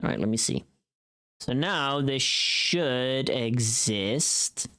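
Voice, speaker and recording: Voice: mid-range voice, lively intonation, slow, sharp tone. A livestream host chatting casually while working, informal and sweary, often thinking aloud.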